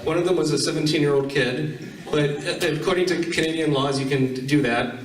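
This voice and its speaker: A man's voice: a little high, steady tone, a little fast. A man hosting a casual panel talk, putting questions to an audience. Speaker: panel host at a gaming expo. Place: convention panel room. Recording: echoey room, distant microphone.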